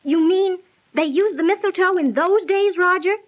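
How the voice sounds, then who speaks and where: An actress playing a naive, excitable young fiancee in theatrical 1930s radio style. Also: female voice, very high-pitched, moderate pace. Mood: curious, shocked. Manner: theatrical, exclaiming. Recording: dull old 1930s radio recording.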